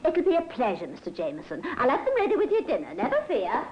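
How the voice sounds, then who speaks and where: A woman's voice: high voice, huge pitch swings, fast talker. An actress playing a fussy, obliging, cheerful landlady in an old radio drama, chatty and deferential. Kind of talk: 1950s radio drama acting. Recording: muffled, noisy old radio recording.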